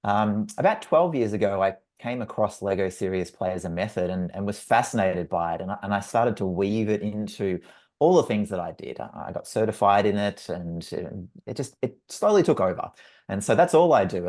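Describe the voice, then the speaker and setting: A man's voice: lowish voice, animated, moderate pace, darkish tone. A man from Australia with an Australian accent, a facilitator introducing himself on a webinar in a friendly, upbeat way. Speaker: facilitator and trainer. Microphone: video call.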